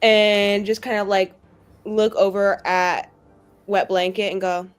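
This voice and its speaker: A woman's voice: mid-range voice, level tone, takes it slowly, clean tone. A woman chatting casually as a player in a tabletop roleplaying game.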